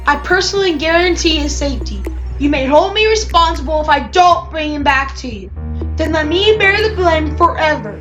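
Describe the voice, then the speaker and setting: Earnest Hebrew brother played by a woman, insistent and pleading, high-pitched, for a Bible storybook over music. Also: expressive, normal speed, fairly bright tone, muffled recording. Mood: urgent pleading. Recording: music behind the voice.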